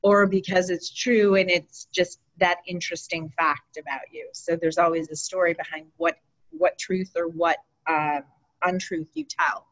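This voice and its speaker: A middle-aged woman speaks up as a participant on a video call over her laptop. Her voice sits slightly low. She makes her point in an earnest, emphatic way. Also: steady tone, normal speed, a bit bright, rough recording. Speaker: workshop participant. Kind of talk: casual group video call. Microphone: laptop webcam on video call.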